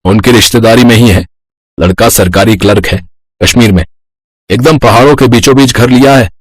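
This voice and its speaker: A man acts out a middle-aged father and husband in an animated family tale. He explains things calmly and reassuringly in a husky voice. The recording is dry. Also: mid-range voice, dry recording, lightly acted. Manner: measured, explanatory. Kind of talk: animated moral-story voice acting.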